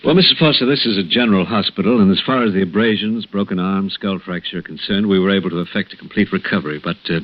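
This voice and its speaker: Low-pitched American doctor, solemn and hesitant, vintage radio play on a dull lo-fi recording. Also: male voice, quick pace, hardly any pauses. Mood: hesitant, polite. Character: grave, hesitant male hospital doctor breaking bad news gently. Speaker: radio actor. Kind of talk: 1960s radio drama acting.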